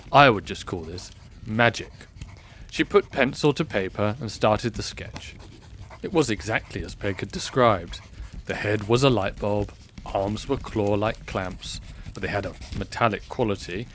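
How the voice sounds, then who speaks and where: A man narrating a scripted fantasy audio drama in a measured, expressive storybook style. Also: on the low side, slight background noise. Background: music.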